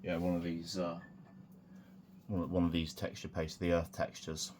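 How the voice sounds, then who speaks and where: A man with a croaky voice from a sore throat, talking through a hobby tutorial in a relaxed, rambling way with many fillers. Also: deep voice, moderate pace, a little hiss or hum. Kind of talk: casual hands-on tutorial.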